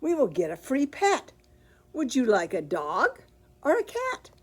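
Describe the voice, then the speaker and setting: A cheerful, innocent storybook housekeeper who takes every word literally, voiced by a woman reading aloud. She speaks up higher and louder than the storyteller's own voice, with a lively, swooping pitch. Her delivery is earnest and emphatic, as if explaining something obvious. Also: unhurried, slightly rough, full character voice. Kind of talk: picture book read aloud.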